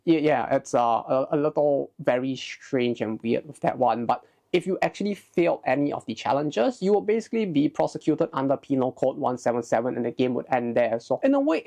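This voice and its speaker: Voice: medium pitch, vocal fry, dark tone. A video essay host narrating in an informal, explanatory way, with conversational asides and hedged remarks.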